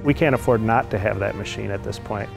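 A man's voice: on the low side, brisk, vocal fry. An American man in his forties, a production manager, talking colloquially in a customer testimonial interview. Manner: plain-spoken, colloquial. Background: music.

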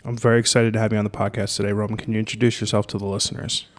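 A man's voice: on the low side, little pitch movement, racing. A man hosting a podcast, asking casual questions full of "like" and "kinda". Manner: friendly, fills with "like" and "kinda". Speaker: podcast host.

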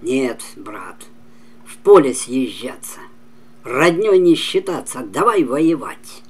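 A man's voice: mid-range voice, big pitch swings, takes it slowly, exaggerated voice. An older man voicing a bold, boastful young folk-tale warrior in a declamatory way. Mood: defiant. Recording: clearly noisy home recording.

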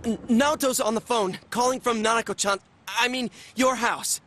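A man's voice: very high-pitched, fairly even intonation, moderate pace, a bit bright, slight background noise. A man playing an earnest, anxious teenage boy in a video game, urgent and stumbling over his words. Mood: panicked. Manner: urgent, stammering.

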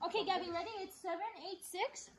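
A woman's voice: very high-pitched, fairly even intonation, quick pace, crowd noise. A young woman chatting with friends, brash and profane, switching between English and Spanish. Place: dim living room with friends. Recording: busy room with people talking over.

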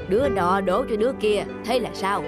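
A woman voicing a stern but kindly old grandfather bear in a children's cartoon, with a measured, admonishing manner. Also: mid-range voice, over loud music, natural voice. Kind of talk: Vietnamese children's cartoon dubbing.

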